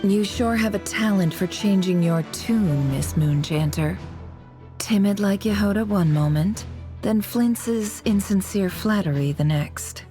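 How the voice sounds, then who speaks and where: A woman playing a shrewd, sardonic dealer in information in a video game, with a cool, teasing and deliberate delivery. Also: medium pitch, mellow, faint music behind, natural voice. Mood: dryly teasing. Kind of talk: English video game voice acting.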